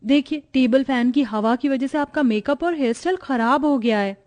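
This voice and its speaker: A woman's voice: high-pitched, moderate pace, a little mellow, close to an everyday voice. A woman playing a concerned younger sister in a cartoon family story. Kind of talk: cartoon moral story dialogue.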